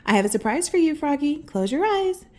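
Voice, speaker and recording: Woman as a sweet, coaxing, high-voiced girl frog. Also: big pitch swings, brisk.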